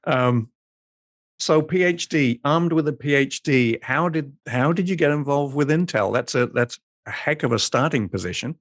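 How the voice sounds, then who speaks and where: A man's voice: fairly high pitch, normal speed, no echo, studio quality. A man hosting an interview, asking friendly, chatty questions with small repeats and restarts. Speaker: podcast host.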